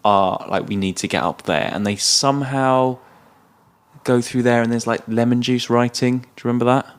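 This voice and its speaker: A man's voice: mid-range voice, steady tone, moderate pace, smooth. A man co-hosting a podcast, casually retelling a film's plot in a hesitant, loosely conversational way. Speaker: podcast co-host.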